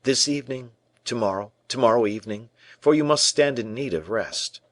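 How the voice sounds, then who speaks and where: A man's voice: mid-range voice, moderate pace, fairly bright tone, no echo, voice pushed up, close to an everyday voice. A commanding young king from a classic storybook, as a male narrator plays him, speaking in curt, imperious questions and orders. His voice sits a bit above the reader's own. It is dry and close-miked.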